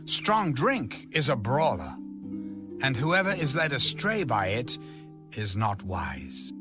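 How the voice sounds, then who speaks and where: A man delivers a scripture reading at a slow, deliberate pace. His pitch moves expressively and his phrasing is declamatory and measured. Music plays behind him. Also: sing-song. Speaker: audio Bible reader.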